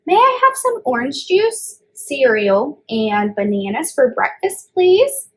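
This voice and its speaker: A plaintive, earnest little goat boy from a storybook, voiced by a woman. He is fussy but polite, with a high, lilting voice and slow, careful delivery. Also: very animated, full character voice, low-quality recording.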